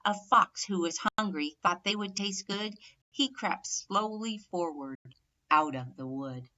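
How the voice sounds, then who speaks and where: A woman reads a rhyming children's story aloud with clear emphasis on each rhyme. Her voice is slightly low and bright, and she takes her time over the lines. The narration is measured and expressive. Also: dull audio. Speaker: storybook reader.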